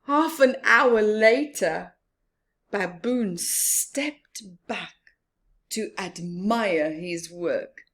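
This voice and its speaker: A woman reading a picture book aloud expressively for young children, pausing for asides to her listeners. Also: medium pitch, fairly bright tone, slightly rough. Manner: slow, deliberate storyteller addressing small children. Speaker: children's story reader.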